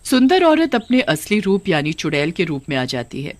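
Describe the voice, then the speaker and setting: A woman's voice: on the low side, big pitch swings, normal speed, no echo. A woman narrating a scripted cartoon story as its storyteller.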